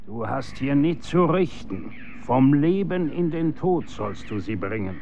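An actor in a German radio drama playing a stern, grave executioner instructing his son in commanding, archaic, measured diction. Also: mid-range voice, animated, gravelly, a little mellow, dull audio, slight background noise.

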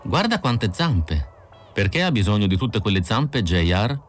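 A man's voice: fairly low pitch, very animated, measured pace, soft background music. A man voicing a cool, curious, casually cruel female android with an offhand, musing manner, in an audiobook. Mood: coolly curious.

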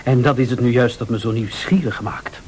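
An actor playing a cool, defiant scheming husband in a radio thriller, curt and sardonic. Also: male voice, mid-range voice, a little fast, darkish tone, a little hiss or hum, close to an everyday voice. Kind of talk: Dutch-language radio thriller acting.

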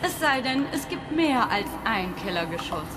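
A woman playing a bold young explorer in a radio drama, brisk and matter-of-fact. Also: a little high, very animated, noisy background. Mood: dismissive.

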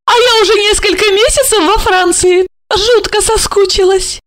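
A woman voicing a playful, coquettish young rich woman, teasing in a high sing-song voice. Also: normal speed, a bit bright. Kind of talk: audiobook character reading.

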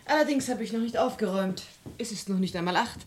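Actress as inquisitive young woman sleuth for radio crime play: mid-pitched, composed, direct, self-possessed. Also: fast, a little mellow, husky, close to an everyday voice. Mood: composed, defiant. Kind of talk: German radio crime drama acting.

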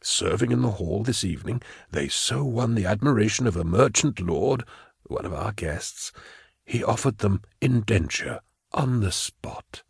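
A soft, whispery male prefect of an audiobook tale, acted by a man. He speaks slowly and smoothly with false fatherly kindness and quiet condescension. The voice is low and closely recorded, without room sound. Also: lively intonation, no echo, clean studio sound, full character voice. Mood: falsely kind. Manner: measured, unctuous, condescending. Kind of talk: audiobook character acting.

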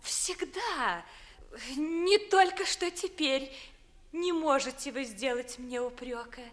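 An actress playing a dreamy, haughty young noblewoman in love, in a classical verse comedy, with a genteel, measured, theatrical delivery. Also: high-pitched. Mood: cool politeness. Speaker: stage actress. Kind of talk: classical verse comedy acting, 1950s audio play.

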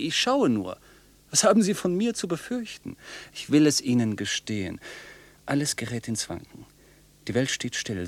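A man playing a polite but unsettling, intense night traveller in a German radio play, speaking deliberately and theatrically. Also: medium pitch, dry recording.

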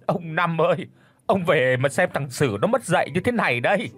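Guy putting on a sick old mom, husky, wailing and begging. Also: mid-range voice, sing-song, normal speed, faint music behind. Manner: wailing, lamenting.

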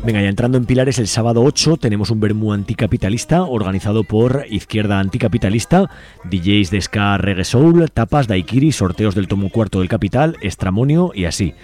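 A man's voice: lowish voice, animated, fairly quick, a little mellow. A man co-hosts a local radio show. He runs through listings casually, with a wry, informal turn to his delivery. Music plays faintly underneath him.

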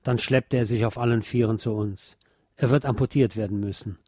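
A man's voice: fairly low pitch, steady tone, brisk, darkish tone, breathy edge, dry recording, clean studio sound. A man reading a scripted historical text aloud as a narrator.

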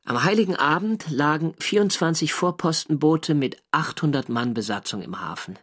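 An actor playing a young German navy sailor, narrating his war diary in a measured literary way. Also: a little high, animated, a bit bright, husky. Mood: reflective. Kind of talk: scripted first-person radio drama narration.

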